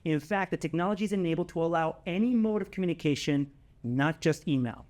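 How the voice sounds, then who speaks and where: A man's voice: fairly high pitch, quick pace, darkish tone. A man presenting a scripted product announcement as a company presenter, emphatic and given to repeating key phrases.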